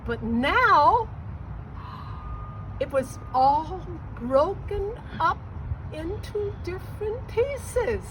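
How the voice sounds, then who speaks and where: A woman storyteller with a very high, animated voice tells a children's tale. She goes slowly, stretching key words expressively, in a noisy outdoor recording.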